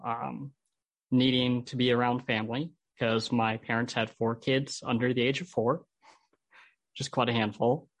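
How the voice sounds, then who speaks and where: A man's voice: medium pitch, no room sound, rough recording. A man with an American accent, a podcast guest telling his personal story in an interview. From Holland, United States.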